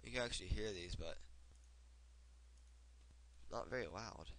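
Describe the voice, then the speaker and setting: A young man with a head cold talks through a software tutorial in a loose, rambling way. His voice sits very deep, and he fills pauses with ums and uhs. He sounds like a casual home creator at his desk. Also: rough recording. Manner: casual, rambling with fillers and restarts. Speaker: tutorial host. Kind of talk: casual screen-recorded tutorial.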